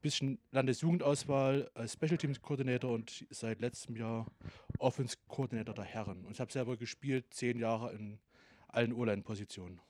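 A man's voice: mid-range voice, fairly quick, darkish tone, dry recording. A man in his thirties co-hosting a casual podcast with dry, teasing humor. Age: 30s. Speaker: podcast co-host and offense coordinator.

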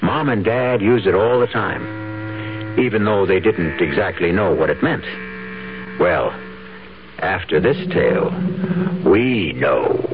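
A man's voice: low voice, animated, slow pace, pauses often, phone-quality sound, background noise. A man hosting a radio drama, narrating from a script in a theatrical, wry manner. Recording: thin band-limited radio, music behind. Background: music.

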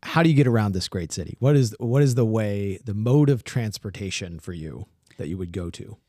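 A man co-hosting a podcast, asking casual questions in a relaxed, hesitant way. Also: on the low side, brisk, no room sound, studio quality. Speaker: podcast co-host.

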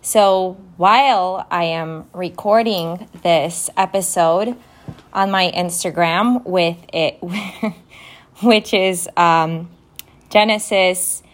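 A woman whose first language is Romanian, hosting a podcast and going slowly through vocabulary words, spelling each one letter by letter. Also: on the low side. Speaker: podcast host. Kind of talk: vocabulary lesson, casual spelling and definitions. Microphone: phone app recording.

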